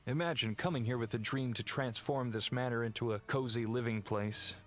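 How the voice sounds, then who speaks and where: An actor playing a courteous, earnest aristocratic heir in a video game, speaking in a formal way. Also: male voice, mid-range voice, a little fast, lightly acted. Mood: earnest, uneasy. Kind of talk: video game cutscene acting. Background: game music.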